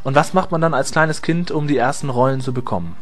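A man's voice: medium pitch, quick pace. A man hosting an interview show in an informal, jokey way. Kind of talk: interview moderation. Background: music.

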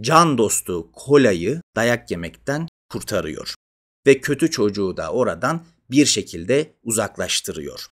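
A man's voice: mid-range voice, fairly bright tone. A man in his thirties telling a mock fairy tale as a show host, theatrically and wryly, with asides to his viewers. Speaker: video essay and podcast host. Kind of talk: animated storytelling with asides to viewers. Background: music.

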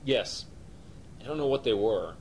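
A man playing a shaken, solemn police officer in an analog horror drama, speaking in a halting, matter-of-fact way. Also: lively intonation. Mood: shaken, grim. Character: grim, shaken male police officer investigating monsters. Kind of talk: analog horror found-footage acting. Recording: noisy, rumbling amateur recording.

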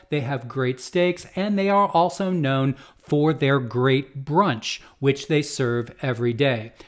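A man in his fifties with an American accent presents an explanatory guide as a vlogger, in measured steps with emphatic repeats, close to a desktop microphone in a small home studio. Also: medium pitch. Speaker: cruise travel vlogger and agent. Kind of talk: explanatory talk to camera, semi-scripted guide. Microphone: close desktop condenser microphone.

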